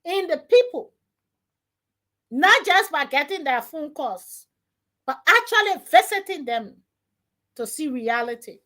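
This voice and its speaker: A woman hosting a live radio talk show, her voice rough from a cold, talking haltingly with restarts and fillers. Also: high-pitched, very animated, sharp tone. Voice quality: rough, strained voice. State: has a cold. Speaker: radio host. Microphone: studio mic.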